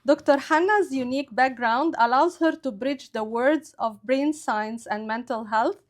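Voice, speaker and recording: A woman's voice: high voice, unhurried. A woman hosting a health podcast, reading a prepared introduction and asking interview questions in an upbeat, smiling way. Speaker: podcast host.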